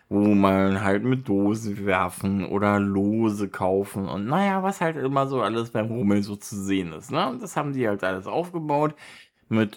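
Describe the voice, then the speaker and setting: A man from Berlin chatting casually in colloquial German, rambling and halting as he searches for words and gives his opinions. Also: fairly low pitch. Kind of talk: casual monologue, personal chat.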